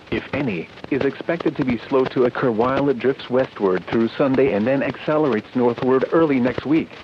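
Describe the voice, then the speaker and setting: A man delivering a scripted weather forecast as a radio announcer, with a measured, impersonal synthetic delivery over a radio broadcast. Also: mid-range voice, highs cut off, background noise. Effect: radio broadcast sound.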